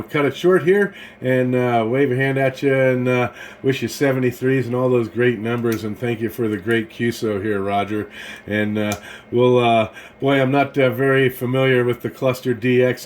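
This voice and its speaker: A man's voice: medium pitch, a little hiss or hum. An American man chatting casually as an amateur radio operator, in a hesitant, rambling way. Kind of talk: casual ham radio conversation.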